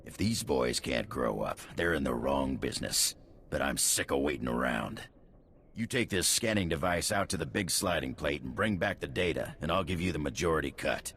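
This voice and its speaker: Game role: very low-voiced male mercenary boss, scornful and taunting, some ambient noise. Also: expressive, normal speed, fairly bright tone, full character voice. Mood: impatient, goading. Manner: sneering, taunting, blunt. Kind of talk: video game character acting.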